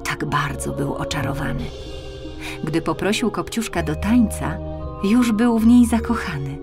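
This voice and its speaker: A woman narrating a children's fairy tale as an expressive audiobook storyteller. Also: on the low side, measured pace, vocal fry, over music.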